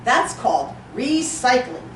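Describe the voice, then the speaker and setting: Woman reader as a cheerful little girl, sing-song and lively, in a roomy space off-mic. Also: a little high, slow, a little hiss or hum. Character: cheerful little girl talking to her puppy. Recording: roomy echo, distant mic.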